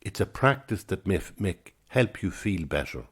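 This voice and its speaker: A man's voice: low-pitched, very animated, moderate pace, slightly rough. A man in his sixties, a podcast host, talking alone in a reflective, musing way and often repeating words as he gathers his thoughts. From Ireland. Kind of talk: reflective solo podcast talk.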